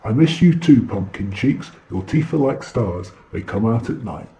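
A man's voice: fairly low pitch, animated, moderate pace, warm tone. A man playing a vain, boastful alien who relays messages telepathically in a pompous, theatrical way for a comic audio drama. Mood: gushing. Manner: pompous, smug, theatrical, easily sidetracked.

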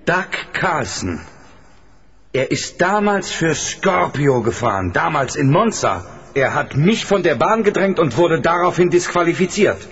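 A man's voice: a little high, big pitch swings, unhurried, fairly bright tone, slightly rough, heavily acted. A man playing a determined, protective racing driver and father in a children's radio play. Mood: dawning realization. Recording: dull old cassette, noisy background.

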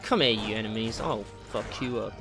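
A man giving casual, excitable commentary while playing a video game, jokey and slangy, with exclamations and swearing. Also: lowish voice, moderate pace. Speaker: gaming video host. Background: game music.

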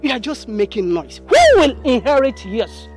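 A woman's voice: fairly high pitch, big pitch swings, moderate pace. A woman playing a brazen, quarrelsome debtor in a film drama, with a Nigerian accent and a taunting, exclamatory manner. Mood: angry, scornful. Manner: taunting, exclamatory, repeats questions. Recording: background music over film dialogue.

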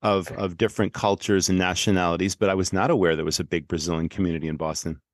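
A man's voice: low voice, steady tone, quick pace, no room sound. An American man in his fifties hosting a podcast interview with effusive, wordy questions, close on a studio-style microphone. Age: 50s. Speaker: podcast host. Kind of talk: conversational interviewing. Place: small indoor room. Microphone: close microphone on boom arm, headphones.

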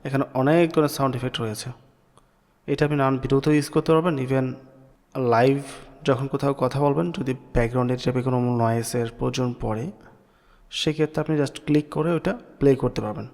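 Fast-talking man hosting a tutorial. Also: mid-range voice, fairly even intonation, creaky, a little mellow. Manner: casual explaining, peppered with English words. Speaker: tech tutorial host. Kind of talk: screen-recorded software tutorial.

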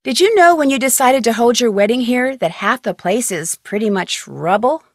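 A woman's voice: a little high, no room sound, clean studio sound. A woman playing a curious, polite young amateur detective in a video game, asking questions in an inquisitive way. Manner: inquisitive, clear-spoken questioning.

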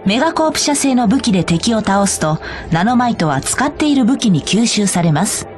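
A woman's voice: on the high side, very animated, fairly quick, a little mellow, faint music behind. A woman voicing a polite, cheerful corporate guide, reading scripted tutorial narration for a game. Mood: polite, bright. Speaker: tutorial announcer.

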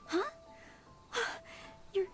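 A woman playing an eager, polite young maid in a video game, earnest and humble, with a formal, dutiful, slightly flustered manner. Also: extremely slow. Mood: flustered, shy. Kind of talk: video game character dialogue. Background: faint game music.

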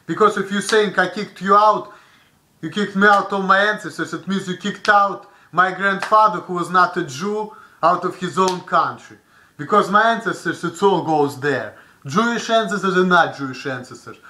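A man's voice: sits high, pushed and bright. Angry young man with Russian accent. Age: young adult. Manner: heated, profane, gesturing a lot. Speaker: vlogger. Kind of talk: angry webcam rant. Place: small bedroom, indoors. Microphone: webcam built-in mic.

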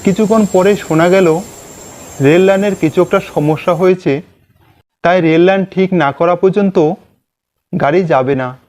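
High-pitched male ghost story narrator. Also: normal speed, long pauses, darkish tone. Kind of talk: first-person scripted ghost story narration. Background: background music.

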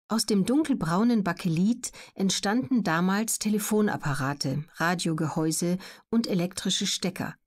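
Woman reading educational audiobook, kinda low voice, measured and articulate. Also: a little mellow. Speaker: audiobook narrator. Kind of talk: scripted educational narration.

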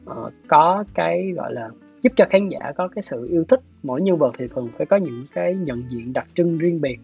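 A man in his thirties with a southern Vietnamese accent explains his views in a measured, hesitant interview style. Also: fairly high pitch, creaky, husky. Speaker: guest, animation director. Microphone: video call. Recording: dull video-call audio, music behind. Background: soft plucked guitar music.

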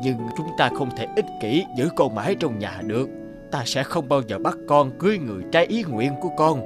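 Man voicing a gentle old father, expressive delivery. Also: mid-range voice, natural voice. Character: gentle old bamboo cutter, loving adoptive father. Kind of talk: Vietnamese children's cartoon dubbing.

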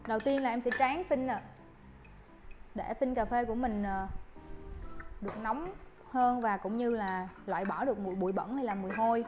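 A woman's voice: fairly high pitch, normal speed, pauses often, over music. Polite young woman teaching coffee making. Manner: polite, addresses viewers with soft fillers. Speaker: barista instructor. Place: coffee shop counter indoors. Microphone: lapel mic.

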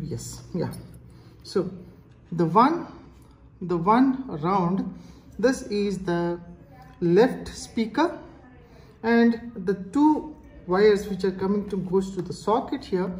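A woman with an Indian accent giving a casual hands-on product demonstration in a halting, explanatory manner. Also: mid-range voice, expressive, darkish tone. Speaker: product reviewer. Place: indoors, tiled-floor room. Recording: echoey hard-floored room.

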